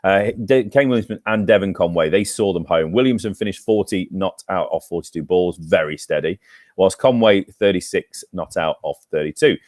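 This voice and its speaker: A man's voice: low-pitched, moderate pace, darkish tone, no room sound, clean studio sound. A man co-hosting a cricket podcast, chatty and teasing as he reels off match stats. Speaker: podcast co-host. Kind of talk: casual cricket chat and match recap.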